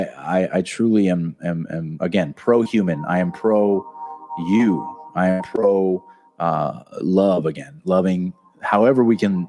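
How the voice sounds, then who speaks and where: Man, deep voice, comedian host, earnest, rambling, soft music underneath. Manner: earnest, rambling with many restarts. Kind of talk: casual solo talk to camera.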